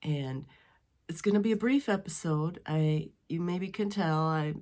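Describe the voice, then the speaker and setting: Woman, middle-aged, deep voice, congested with a cold, rambling casual chat, home dining room. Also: measured pace, warm tone. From western Wisconsin. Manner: chatty and rambling, with many ums and restarts. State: stuffy head from a cold. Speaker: knitting podcast host.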